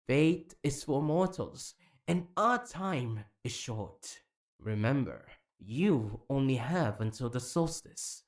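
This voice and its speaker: Calculating male high-council figure acted by a man, slow, formal, with dramatic rises and falls. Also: animated. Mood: cold, portentous. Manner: portentous, formal. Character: cold, solemn, calculating male council member. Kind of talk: fantasy audio drama acting.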